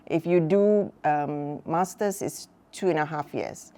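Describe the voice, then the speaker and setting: A woman in her sixties, a mother on a talk show, telling stories from her life in a relaxed, chatty way with many fillers. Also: low-pitched, takes it slowly. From Malaysia. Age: 60s. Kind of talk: casual chat.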